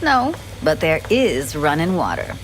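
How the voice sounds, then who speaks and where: A woman's voice: sits low, very animated, a little slow, slight background noise, poor audio. An actress voicing a candid, wistful young woman techie, tough but caring, with casual, clipped, slangy phrasing in a video game. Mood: tired, caring.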